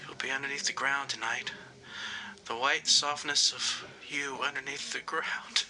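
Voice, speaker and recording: A man's voice: mid-range voice, steady tone, measured pace, sharp tone, poor audio. A man playing a grief-stricken young bridegroom, tender and melodramatic, in a 1930s-style radio horror drama. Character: grief-stricken young bridegroom mourning his dead love.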